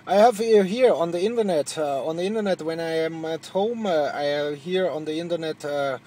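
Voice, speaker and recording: A man with a German accent and a slightly high voice chats in the style of a radio operator during a contact. He speaks haltingly, repeating himself and searching for words as a non-native speaker whose first language is German. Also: mellow. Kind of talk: casual radio contact chat.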